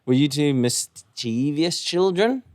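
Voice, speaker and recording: A young man co-hosting a podcast, relaxed and easygoing as he tells stories in casual chat. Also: on the high side, slow pace. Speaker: podcast co-host.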